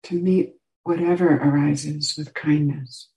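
Slow, mellow woman guiding meditation. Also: low voice, fairly even intonation, rough recording. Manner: soft, unhurried instruction with long pauses. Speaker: meditation teacher. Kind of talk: guided meditation.

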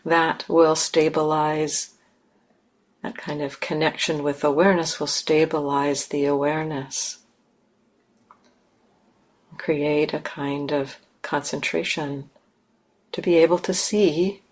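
A woman leading a guided meditation in measured, instructive phrases with long pauses. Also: low voice, flat delivery. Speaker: meditation teacher.

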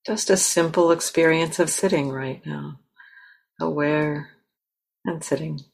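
A woman with a low voice guides a meditation. She speaks very slowly and gently, leaving long pauses between short phrases as she instructs the listener. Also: creaky, husky, rough recording. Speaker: meditation teacher.

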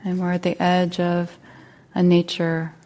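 Slow, mellow woman guiding meditation. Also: fairly low pitch, completely flat delivery. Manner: gentle, unhurried, with long pauses. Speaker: meditation teacher. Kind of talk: guided meditation.

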